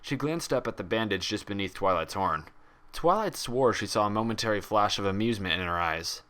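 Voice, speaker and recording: A man's voice: fairly low pitch, lively intonation, a little fast, fairly bright tone, clear, resonant tone. A man reading fan fiction aloud as scripted story narration. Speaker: fan fiction audiobook reader.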